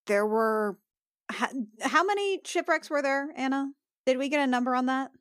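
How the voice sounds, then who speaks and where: A woman playing her character in a tabletop game, talking in a hesitant, halting way. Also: sits high, fairly bright tone, no echo, clean studio sound. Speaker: player.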